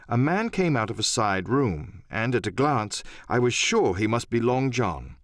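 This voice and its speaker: A man's voice: medium pitch, no echo, studio quality. A man narrating an adventure audiobook in a dramatic first-person storytelling style.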